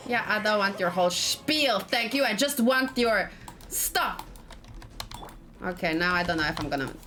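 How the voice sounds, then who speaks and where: A woman giving casual, excitable let's play commentary, talking to herself and repeating words in quick bursts. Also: medium pitch, over music. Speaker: gaming let's play host.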